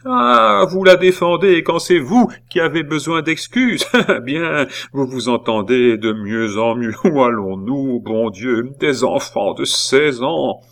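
A man's voice: medium pitch, takes it slowly, voice pushed up. A man voicing a stern, suspicious old spinster aunt in a storybook reading, accusing and sententious. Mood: indignant, scornful. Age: older. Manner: accusing, sarcastic, indignant exclamations.

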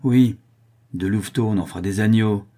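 Audiobook villainess: savage, scornful condemned widow, low, husky and dark, read by a man. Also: moderate pace, lightly acted. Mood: defiant hatred. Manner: cold fury, savage irony, scornful. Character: fierce, sneering, vengeful condemned widow, a criminal mother.

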